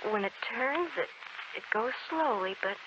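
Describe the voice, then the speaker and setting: An actress in a 1940s American radio drama playing a frail, gentle dying young woman, speaking haltingly and wistfully with sweet resignation. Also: on the high side, animated, natural voice. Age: young adult. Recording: muffled, very noisy 1940s radio recording.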